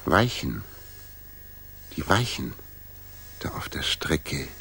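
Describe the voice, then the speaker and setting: An actor playing a brilliant, brisk and commanding detective in a 1960s German radio drama, musing and deliberate as he speaks with authority. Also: extremely low pitch, sing-song, vocal fry, bright, forceful tone, husky, exaggerated voice. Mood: musing, intent.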